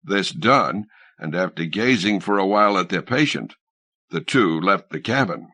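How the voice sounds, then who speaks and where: Man, scripted story narration, lowish voice, bright forceful edge, close-miked audiobook reading. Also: lively intonation, moderate pace, dry recording. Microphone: close studio microphone, dry sound.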